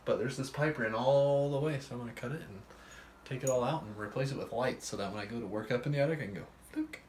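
A man's voice: mid-range voice, fast, a little mellow, slight background noise. A man in his thirties with an American accent, teasing and joking while he works on a house renovation, filmed on a phone. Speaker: co-host, husband. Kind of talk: casual banter while working. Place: unfinished laundry room in a farmhouse. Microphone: phone camera. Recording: echoey room.

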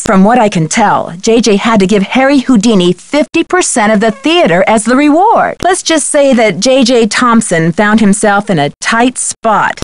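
A young woman voice actor playing a plucky, sharp-witted teenage girl detective in a video game, articulate and inquisitive. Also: a little high, no room sound. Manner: crisp, articulate, spirited, inquisitive.

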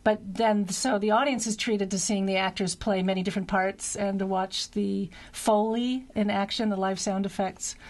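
Female guest, middle-aged, medium pitch, halting casual explanations, radio studio mic. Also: steady tone, darkish tone. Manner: halting, self-correcting explanations. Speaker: theater director, interview guest. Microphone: boom-mounted broadcast microphone up close.

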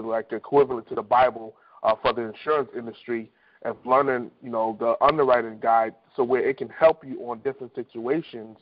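A man with a mid-pitched voice speaks as a team leader on a conference call. He coaches conversationally, often repeating himself, and the telephone line makes him sound dull and lo-fi. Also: a little hiss or hum. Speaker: insurance agent team leader.

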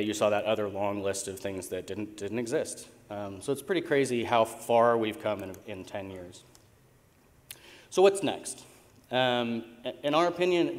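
An American man in his thirties giving a conference presentation in an informal, hesitant way, with frequent ums. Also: fairly low pitch. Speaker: conference keynote speaker, tech company co-founder. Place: indoor conference stage in a large hall. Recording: reverberant hall sound from stage PA.

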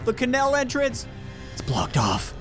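Excitable young male gamer, lively pitch. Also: unhurried, soft background music. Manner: exclaims often, playful. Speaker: gaming video host and player. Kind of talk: excitable gameplay banter with friends.

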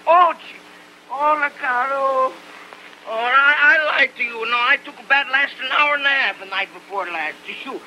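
An actor playing an excitable older immigrant farmer who speaks broken English with stammering exclamations, in old film acting style. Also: male voice, sits high, moderate pace, a little hiss or hum, full character voice, poor audio. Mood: protesting.

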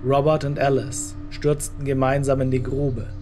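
Ein Mann liest als Erzähler eine Geschichte vom Skript. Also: male voice, mid-range voice, flat delivery, normal speed, over music. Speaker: narrator. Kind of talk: scripted story narration.

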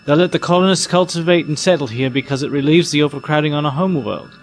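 A man's voice: on the high side, fast, faint music behind, lightly acted. A man playing a sceptical, reasoning spaceship crewman in an audio drama, questioning and matter-of-fact.

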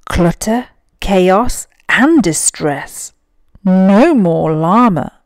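A woman reading a picture book aloud for children, deliberate and animated, with dramatic emphasis on key words. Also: mid-range voice, no echo.

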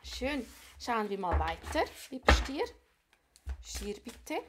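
A woman's voice: fairly high pitch, very animated, takes it slowly, heavy background noise. A woman with a cold doing a casual tarot reading for viewers, musing aloud and often dropping to whispered asides. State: has a cold. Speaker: tarot reader. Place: small room at a card table.

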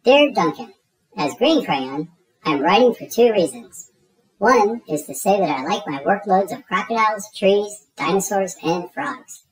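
A woman's voice: medium pitch, expressive, measured pace, lightly acted, poor audio. Woman plays happy peacemaker crayon, polite, earnest, slightly formal storybook voice. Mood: contented, earnest. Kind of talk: children's storybook read-aloud.

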